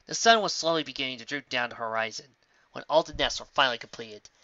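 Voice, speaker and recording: A woman narrating a story in an expressive amateur reading style. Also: a bass voice, fast, dry recording, dull audio.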